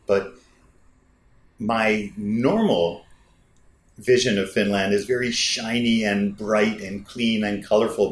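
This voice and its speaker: A man interviewing a guest at a film festival, reading a scripted intro and then asking animated questions. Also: on the low side. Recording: roomy echo with faint music.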